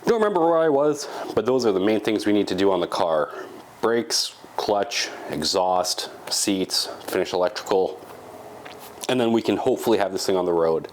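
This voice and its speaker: A man's voice: lowish voice, lively intonation, moderate pace, slight background noise. A congested, sick man casually talking through a project update in his garage workshop, rambling with fillers as he thinks aloud. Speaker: channel host.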